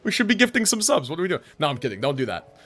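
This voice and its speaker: An American man chatting casually on a live game stream in an animated, slangy, joking way. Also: a little high, very fast.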